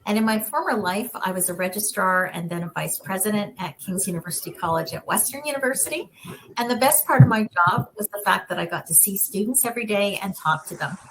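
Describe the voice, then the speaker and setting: A woman's voice: medium pitch, lively intonation, quick pace, slight background noise, rough recording. A woman moderating a student panel over video call, encouraging and affirming. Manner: warm encouraging interviewer, frequent affirmations.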